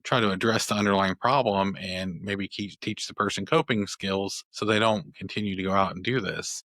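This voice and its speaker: Low, bright-toned man hosting. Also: fairly even intonation, normal speed, dry recording. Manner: thoughtful, rambling with many restarts and "you know". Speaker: podcast host, family law attorney. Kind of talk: conversational interview.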